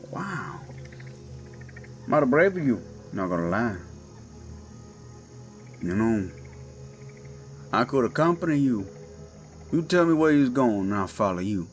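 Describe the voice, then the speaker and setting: Man voicing a Cajun werewolf, menacing, sly, coaxing drawl, horror audio drama, insects in the background. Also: mid-range voice. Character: menacing male Cajun werewolf, falsely friendly swamp stalker.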